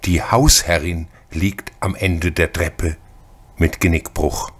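A man acting as the solemn narrator of a crime radio play, deliberate and atmospheric in his scripted delivery. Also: low voice, fairly even intonation. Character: solemn male narrator of a murder mystery.

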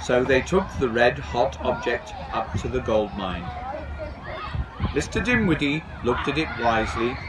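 A man in his fifties reading a children's story aloud outdoors in a measured, expressive storytelling manner. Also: medium pitch, crowd noise, poor audio. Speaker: storybook reader. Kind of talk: scripted children's story narration read aloud. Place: outdoors on a garden bench at a railway.

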